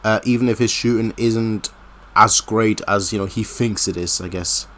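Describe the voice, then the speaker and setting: A man's voice: lowish voice, fairly even intonation, moderate pace, fairly bright tone. A man hosting a casual football interview, hesitant and wordy as he rephrases his questions. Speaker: host, interviewer.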